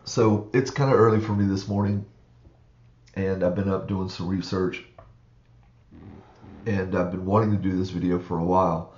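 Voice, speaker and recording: A man's voice: deep voice, fairly even intonation, moderate pace, long pauses, a little mellow, reverberant, dull audio. A man with a froggy, groggy morning voice, rambling through a casual screen-share walkthrough with many fillers. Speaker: online reseller vlogger.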